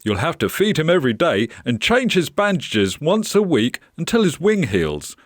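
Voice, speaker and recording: A kindly storybook owner of an animal shelter, played by a man. He speaks in a raised, lively pitch with a reassuring, emphatic manner. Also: normal speed, no room sound, clean studio sound. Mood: encouraging. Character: kindly, helpful male animal rescue centre owner.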